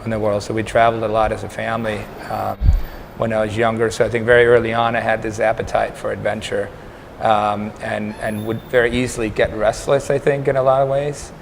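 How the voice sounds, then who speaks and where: A man in his thirties from Denmark, a startup incubator co-founder answering interview questions in a measured, even way. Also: fairly low pitch, gravelly, poor audio. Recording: faint background noise.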